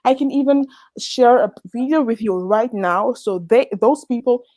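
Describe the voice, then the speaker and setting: A woman's voice: a little high, moderate pace, mellow, no echo. A woman from the Dominican Republic, a guest in a heated casual debate, who talks with animation, laughs mid-sentence and restarts words.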